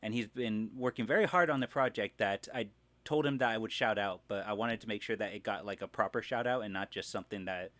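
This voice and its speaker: A man hosting a live stream, running casually through news in a halting, rambling way and often correcting himself. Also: lowish voice, a bit bright, no echo.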